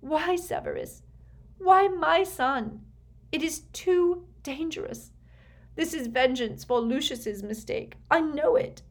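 Storybook role: desperate noblewoman and mother , acted by a woman reader, high, tearful, sobbing, very animated delivery. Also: unhurried, hardly any pauses. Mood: tearful, pleading. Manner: sobbing, beseeching. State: crying.

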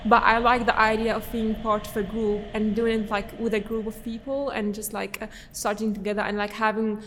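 A woman's voice: on the high side, flat delivery, fairly quick, fairly bright tone, big-room sound, slight background noise. A young woman from Syria, a podcast guest, answering casually with many fillers and restarts.

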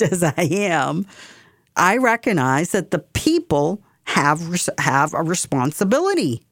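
Low-voiced, impassioned woman radio host. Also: dry recording, clean studio sound. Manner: impassioned, repeats phrases for emphasis. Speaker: radio talk show host. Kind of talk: opinionated talk radio monologue. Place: community radio studio.

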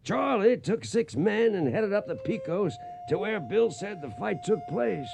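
An actor playing an old trail hand reminiscing in a folksy way, as narrator of a western radio drama. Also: male voice, sits high, expressive, a little slow, gravelly, over music, heavily acted. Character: old trail hand recalling a cattle drive.

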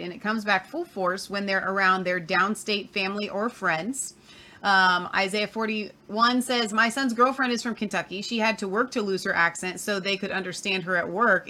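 A woman's voice: medium pitch, pushed and bright. A woman co-hosting a casual talk show who reads out viewer comments and pushes back playfully. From Southern Ohio. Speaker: co-host reading viewer comments. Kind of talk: casual chat.

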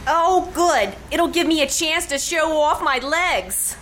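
A woman acting an eager, dim daughter of a tavern owner in live radio comedy. She speaks slowly in a high, bright voice, over some noise. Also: lively intonation, exaggerated voice. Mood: eager, hopeful. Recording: live theater recording with background noise.

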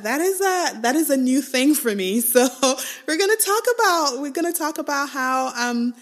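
A young woman from West Africa talking alone about her life, halting and thoughtful, often restarting her phrases. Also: on the high side, a bit bright. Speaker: podcast host. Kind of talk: reflective personal monologue.

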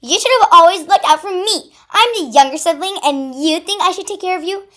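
Woman acting indignant shouting little sister critter. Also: very high voice, brisk, pitched above the performer's own voice. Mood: furious. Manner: emphatic, exclaiming delivery. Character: quarrelsome younger sister animal, indignant and dramatic.

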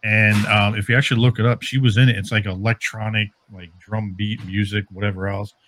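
A man's voice: fairly low pitch, level tone, fairly quick, a bit bright, a little hiss or hum. A man co-hosting a podcast, chatting casually and rambling through trivia in an informal, jokey way. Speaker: podcast co-host.

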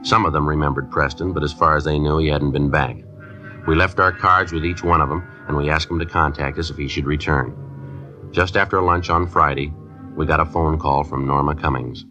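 An actor playing a terse police detective, narrating his case in the clipped, deadpan manner of 1950s radio drama. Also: rumbling low, normal speed, muffled recording, faint music behind. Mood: flat, matter-of-fact. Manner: deadpan, matter-of-fact.

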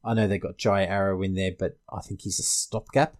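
A man's voice: low voice, fairly even intonation, fairly quick, a little mellow. A man with an Australian accent hosting a casual fantasy sports panel discussion. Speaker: podcast host.

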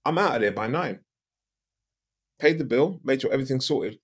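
A man's voice: mid-range voice, lively intonation, moderate pace, breathy edge. A middle-aged man from the UK, a podcast guest and coach, giving emphatic advice with a big voice, repeating his key phrases and asking rhetorical questions.

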